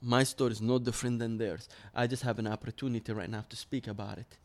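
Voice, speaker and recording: A man's voice: medium pitch, flat delivery, fast talker. Earnest man telling his story. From Iraq. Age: 30s. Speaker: interview guest. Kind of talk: personal storytelling in an interview.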